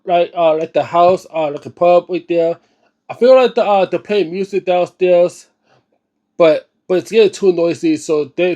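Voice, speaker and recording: A man with a notably high voice talks off the cuff as host of a casual video. He is halting and often repeats himself as he searches for words. His delivery is earnest, emphatic and slangy. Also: mellow. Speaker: host of a book reading and discussion series. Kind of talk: unscripted casual video commentary.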